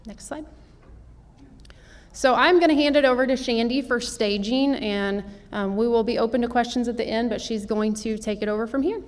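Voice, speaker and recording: A woman's voice: a little high, normal speed. A woman nurse practitioner presenting slides at a conference, explaining the clinical details steadily. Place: conference hall. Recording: long hall reverb.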